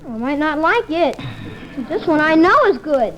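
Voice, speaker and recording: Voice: animated, normal speed, a bit bright, faint music behind. An actor playing an eager, imaginative young boy with slangy exclamations in an old radio comedy-drama, on a muffled recording. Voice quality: high, youthful voice. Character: eager, imaginative young boy sick in bed, anxious schemer.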